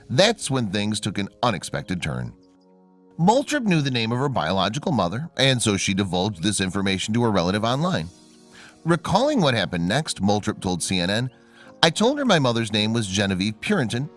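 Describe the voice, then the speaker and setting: Male narrator, lower register with animated intonation, measured scripted storytelling over faint music. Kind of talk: scripted human-interest narration.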